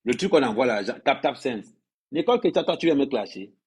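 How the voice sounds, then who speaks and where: A man's voice: mid-range voice, big pitch swings, a little fast, slight background noise. A man from Cameroon, an influencer ranting on a livestream, scolding his audience and hammering home phrases by repeating them. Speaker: social media influencer. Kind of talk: livestream rant to followers.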